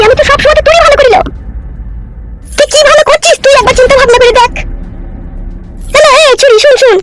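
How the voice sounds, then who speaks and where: A woman voicing a scolding, sharp-tongued village woman in a comedy cartoon, with a pitched-up, sped-up voice. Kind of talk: exaggerated cartoon comedy dialogue.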